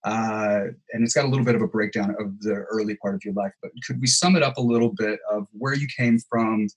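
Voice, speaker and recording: A man's voice: fairly low pitch, steady tone, brisk, breathy edge, rough recording. A man hosting a podcast interview, agreeing often and asking long, run-on questions. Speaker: podcast host. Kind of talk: casual interview chat.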